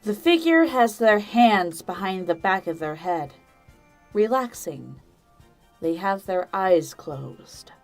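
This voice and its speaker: A woman's voice: medium pitch, animated, slow. A woman reading scripted third-person narration for an audio drama. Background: background music.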